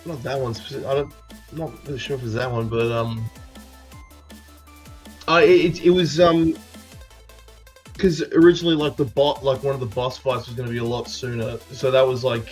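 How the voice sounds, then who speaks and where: A man's voice: mid-range voice, flat delivery, normal speed, long pauses, soft background music. A man giving casual developer commentary, rambling with lots of restarts. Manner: rambling, full of fillers and restarts. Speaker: mod developer.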